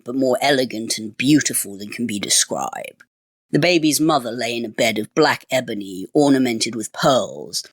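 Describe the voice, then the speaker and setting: A man reading a fairy tale as storyteller, in expressive, measured phrases. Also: mid-range voice, no room sound, studio quality. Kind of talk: scripted storybook narration.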